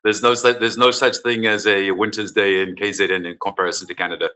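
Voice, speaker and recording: A man's voice: fairly low pitch, level tone, fast, sharp tone, soft background music. A middle-aged man from South Africa, a football coach, answering interview questions in an earnest, explanatory way. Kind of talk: conversational interview.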